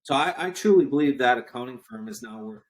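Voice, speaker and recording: A man's voice: mid-range voice, lively intonation, fast talker. A man in his forties talking casually as an interview guest, emphatic and repeating his points for effect, with an occasional cough. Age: 40s. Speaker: podcast guest, entrepreneur.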